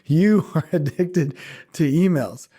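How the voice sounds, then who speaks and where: A man's voice: a little high, slow, dark tone, breathy edge. A man hosting his own podcast alone from a small backyard shed, talking in a giddy, rambling way and laughing often, his voice just recovered. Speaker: podcast host. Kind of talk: casual solo podcast talk.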